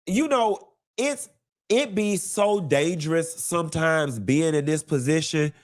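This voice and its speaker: A congested man hosting a live gossip show, talking in a sassy, campy drawl, calling listeners honey and baby and swooping up high for emphasis. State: congested from a cold. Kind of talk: casual campy gossip monologue.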